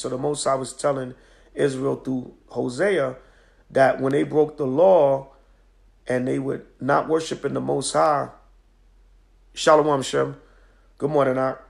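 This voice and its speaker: Hoarse, gravelly man preaching. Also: mid-range voice, fairly even intonation, measured pace, darkish tone. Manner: emphatic, preacherly, with many "all right?" checks. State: hoarse from a cold. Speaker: teacher of a religious group. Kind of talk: live-streamed scripture lesson. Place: home at night. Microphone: phone on a stand.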